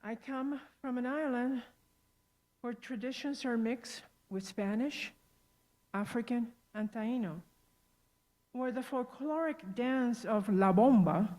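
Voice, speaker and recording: A woman's voice: a little high, slow pace, a little mellow. A woman from Rico, speaking earnestly about her heritage over a video call. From Puerto Rico. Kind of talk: personal talk on heritage.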